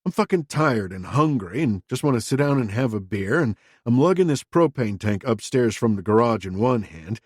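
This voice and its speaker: A man's voice: medium pitch, animated, normal speed, few pauses, darkish tone, no room sound, clean studio sound, close to an everyday voice. A man voicing a crude, defensive middle-aged father who talks in a blunt, profane, self-justifying way. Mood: gruff, candid.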